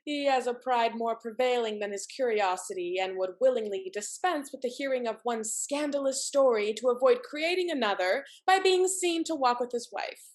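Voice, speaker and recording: Woman playing high, effusive society wife. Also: expressive, moderate pace, few pauses, close to an everyday voice. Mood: coolly sarcastic. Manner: theatrical, exclamatory, mannered. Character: married society woman, arch, effusive, sharp-witted wife. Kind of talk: Restoration comedy stage acting.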